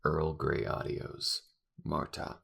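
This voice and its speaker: A man's voice: very deep voice, completely flat delivery, slow, creaky, bright, forceful tone, husky. A man, a voice actor and channel creator, reading a scripted outro and a list of credits.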